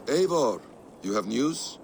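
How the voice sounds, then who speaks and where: An actor playing a guarded, cunning mentor warrior in a video game, speaking in a measured, cryptic storyteller's manner. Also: male voice, medium pitch, sing-song, vocal fry, background noise, heavily acted. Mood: calm, probing. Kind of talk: dramatic video game acting.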